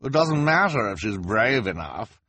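A man's voice: on the low side, big pitch swings, measured pace, bright, forceful tone, dry recording, exaggerated voice. A man voicing a cynical, menacing bald henchman in a storybook, with a knowing manner. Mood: sardonic certainty.

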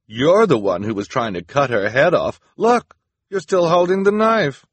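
Man playing an urgent, high-pitched young boy. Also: lively intonation, normal speed, slightly rough, dry recording. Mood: indignant. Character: earnest, frantic young orphan boy.

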